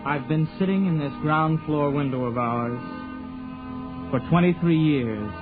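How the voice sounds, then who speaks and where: A man's voice: a little high, phone-quality sound, over loud music, natural voice. An actor playing a wistful, lonely young man. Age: 20s. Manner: wistful, halting with his mother. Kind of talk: reflective narration in 1940s radio drama. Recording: phone-thin 1940s radio broadcast.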